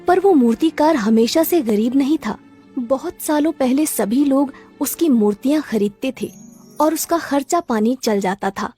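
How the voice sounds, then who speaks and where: A woman's voice: fairly high pitch, dark tone. A woman narrator reading a scripted children's story.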